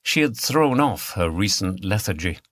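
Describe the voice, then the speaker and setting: A man's voice: lowish voice, animated, normal speed, fairly bright tone. A man narrating a mystery novel as a scripted audiobook reading.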